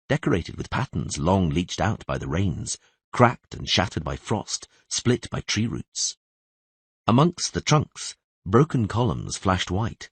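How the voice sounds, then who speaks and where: A man's voice: deep voice, slightly rough, no echo. A man reading scripted fantasy narration as an audiobook narrator.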